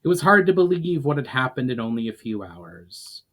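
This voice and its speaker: Man reading fantasy fiction in measured narration. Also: medium pitch, big pitch swings, fairly bright tone. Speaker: author reading own book. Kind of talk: dramatic audiobook-style narration of fantasy fiction.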